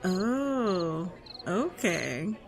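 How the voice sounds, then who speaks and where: A woman's voice: lowish voice, sing-song, very slow, a crowd in the background, full character voice. A woman voicing a blunt, sarcastic young woman adventurer in an audio drama. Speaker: companion character in an audio drama. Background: music score.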